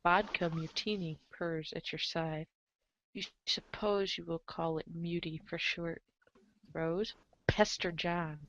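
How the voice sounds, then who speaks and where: A wry storybook narrator, played by a woman, with a slightly low voice. The delivery is scripted and theatrical, recorded close with no room sound. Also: steady tone, slow pace, a bit bright, no room sound, highs cut off. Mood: wry narrating. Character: wry storybook narrator addressing the hero as you. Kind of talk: scripted second-person comedic narration.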